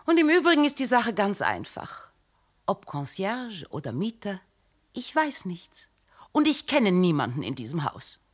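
A poised diplomat's wife who answers with dry irony. Her pitch swings dramatically across phrases. She sounds unimpressed and self-assured. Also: wildly swooping pitch, a little slow. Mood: ironic. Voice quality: ironic, poised. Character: sharp-tongued diplomat's wife, ironic and cool. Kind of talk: radio play acting.

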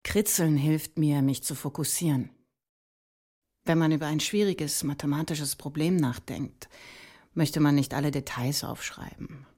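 An actress playing a dreamy female mathematician and mother, speaking in a measured, reflective way in a radio play. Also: deep voice, dark tone, breathy edge.

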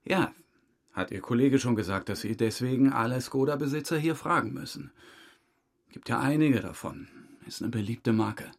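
A man's voice: mid-range voice, moderate pace, creaky. An actor playing a talkative, defensive man questioned by police in an audio crime drama, colloquial and slightly aggrieved. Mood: chatty. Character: chatty male car owner under questioning, defensive, evasive. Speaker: witness being questioned by police.

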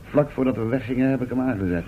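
A man's voice: mid-range voice, fast talker, close to an everyday voice. Professor's older assistant male actor: shrewd, dry, commanding; vintage radio serial, dull with faint noise. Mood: suspicious, bossy. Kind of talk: old Dutch radio drama acting.

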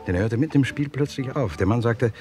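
An actor playing a polite, observant writer in a vintage radio crime drama, speaking in a measured, courteous way. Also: male voice, mid-range voice, over music, natural voice. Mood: hesitant, earnest. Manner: courteous, measured, hesitant when reporting.

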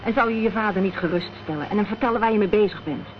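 A woman's voice: medium pitch, quick pace, close to an everyday voice. An actress playing a detective's practical, inquisitive female companion in a Dutch radio drama, probing and forthright. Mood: concerned, probing. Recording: muffled, noisy old radio recording.

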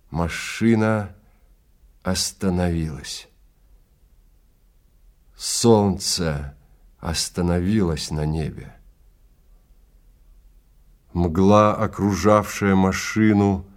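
Deep-voiced man, dramatic deliberate narration. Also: a little mellow. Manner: theatrical, deliberate, with weighty pauses. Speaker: narrator and reader of a dramatized story. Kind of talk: dramatic scripted narration of science fiction.

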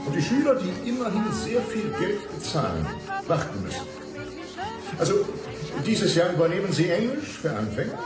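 A man's voice: high-pitched, wildly swooping pitch, normal speed, roomy sound, loud music behind the voice. A man playing a fussy, reproving school director in a radio drama.

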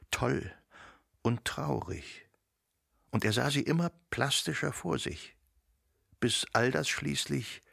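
A man narrating a radio drama in a literary, dramatic style, with deliberate phrasing and emphatic pauses. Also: medium pitch, gravelly, fairly bright tone, breathy edge, no room sound, studio quality.

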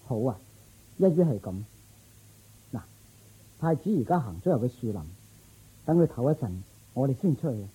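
An actor playing a loyal, thoughtful palace guard in a radio drama, earnest and reasoning. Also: male voice, medium pitch, animated, moderate pace, pauses often, dark tone, husky, rough recording.

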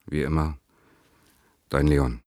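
A man's voice: extremely low pitch, fairly even intonation, takes it slowly, darkish tone, no echo, studio quality. An actor playing a despairing, self-loathing married man reading his letter to his lover in a radio play, in a sober, confessional manner. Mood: despairing, self-reproachful.

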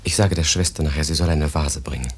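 A man's voice: rumbling low, fast, breathy edge. A man acting a solicitous, coaxing husband in a 1950s radio drama. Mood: coaxing, concerned. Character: solicitous husband of a hospital patient. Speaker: actor.

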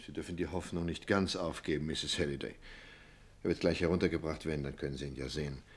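Very deep-voiced, measured doctor. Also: male voice, steady tone. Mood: grave, reassuring. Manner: measured, formal, careful. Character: grave, measured male doctor, calmly reassuring. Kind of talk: German radio play acting.

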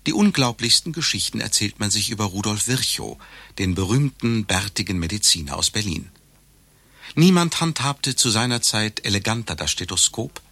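An actor playing a learned lecturer, delivering an ornate, mock-solemn satirical monologue. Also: male voice, lowish voice, lively intonation, moderate pace, sharp tone, heavily acted. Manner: pompous, ornate, mock-serious.

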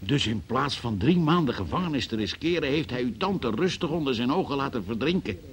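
An actor playing a formal, sceptical examining magistrate who questions insistently in a Dutch radio play. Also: male voice, mid-range voice, animated, a little fast, close to an everyday voice. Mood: indignant, sceptical. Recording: noisy old radio play recording.

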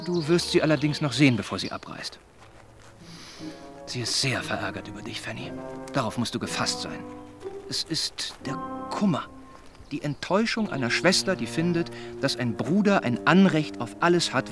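An actor playing an earnest, well-meaning young gentleman in a German radio drama, speaking in a measured, formal, persuading way. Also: mid-range voice.

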